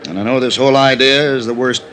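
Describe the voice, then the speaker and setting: An earnest middle-aged gentleman from a vintage radio drama, played by a male actor. He is a proper, worried money manager with a medium-pitched voice and an insistent, measured manner, over faint old-recording noise. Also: lightly acted, rough recording. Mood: earnest worry. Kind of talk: 1950s radio drama acting.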